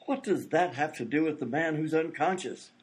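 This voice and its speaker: A man's voice: on the high side, normal speed, slightly rough. A man playing a worried, exasperated visitor, insistent and incredulous, as the straight man in a radio comedy sketch. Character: exasperated, worried man pleading for his unconscious friend.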